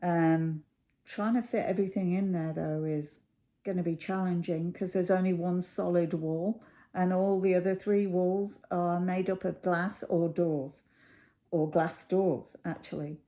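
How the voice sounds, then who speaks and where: A middle-aged woman with a croaky voice, chatting casually to camera at home, rambling with many ums and pauses. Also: fairly low pitch, fairly even intonation, mellow. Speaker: cross stitch YouTuber.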